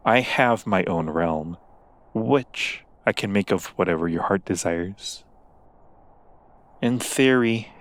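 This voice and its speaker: A man playing a seductive, coaxing demon in an ASMR roleplay, speaking with silky, formal persuasion to a mortal. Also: on the low side. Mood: seductive, reassuring. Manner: slow, silky persuasion with formal, deliberate wording.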